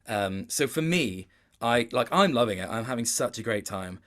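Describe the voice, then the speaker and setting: Guy being interviewed, casual chat, medium pitch, kinda hesitant and thoughtful. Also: animated, moderate pace, a bit bright. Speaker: interview guest, video game voice actor.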